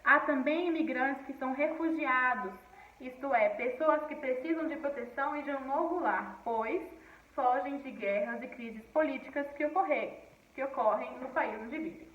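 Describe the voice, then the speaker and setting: A woman's voice: high voice, normal speed, reverberant, distant mic, muffled recording. A woman teacher from Paraíba, now speaking with a Brasília accent, explaining a textbook lesson to her class and often checking in with "tá bom?". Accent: Brasília Portuguese. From Paraíba, Northeast Brazil.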